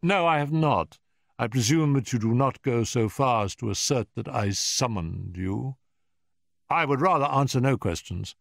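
A man's voice: mid-range voice, lively intonation, creaky, dry recording, voice pushed up. A man voicing an urbane, unflappable male detective in an audiobook story, speaking politely with dry amusement. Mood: polite, firm. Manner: polite, measured, wry.

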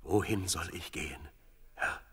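Solemn devout warrior in a bible radio play, super low husky voice, grave. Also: male voice, fairly bright tone, full character voice. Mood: reverent. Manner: slow, grave, declamatory.

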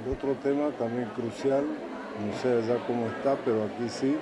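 A man asking interview questions in a halting, probing manner. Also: medium pitch, fairly even intonation. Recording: crowd murmur behind. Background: crowd.

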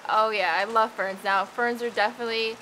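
A woman's voice: a little high, low-quality recording. A young woman from the Dominican Republic, a student researcher, talking eagerly to camera in a casual interview outdoors among tropical plants. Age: young adult. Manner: eager, bubbly, quick restarts. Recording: steady rain noise behind.

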